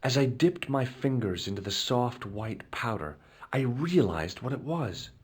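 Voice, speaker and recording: An actor playing an adult male adventurer, narrating his own actions in a measured, dry way. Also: medium pitch, lively intonation, slightly rough. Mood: dry, matter-of-fact. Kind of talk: game character narration.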